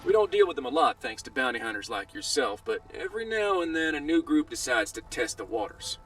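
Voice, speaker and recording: Breezy dispatcher on radio, super animated, sounds far off, faint music. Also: male voice, fairly high pitch, a little fast. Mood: briskly warning. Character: upbeat, folksy male bounty dispatcher calling in. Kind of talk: animated video game acting.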